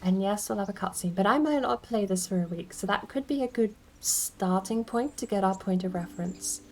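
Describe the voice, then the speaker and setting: A woman's voice: mid-range voice, moderate pace. A woman giving casual livestream game commentary, rambling and thinking aloud as she plays. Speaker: game streamer.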